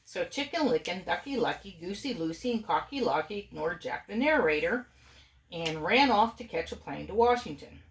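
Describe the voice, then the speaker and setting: A woman's voice: on the low side, expressive, moderate pace, a little hiss or hum. A woman, a mother, reading a storybook aloud informally and expressively, with playful asides to her family. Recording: echoey room.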